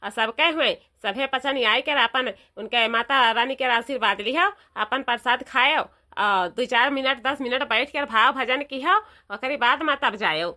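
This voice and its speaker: A woman's voice: a little high, measured pace, hardly any pauses, pushed and bright. A woman voicing a village elder in a cartoon, instructing people familiarly. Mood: welcoming. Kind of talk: cartoon comedy dialogue.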